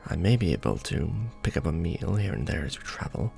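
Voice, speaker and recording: A man playing a courtly, doting vampire lord in an ASMR romance roleplay, speaking formally and deliberately with tender pauses. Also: extremely low pitch, big pitch swings, creaky, a little mellow, faint music behind, heavily acted. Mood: thoughtful, hesitant.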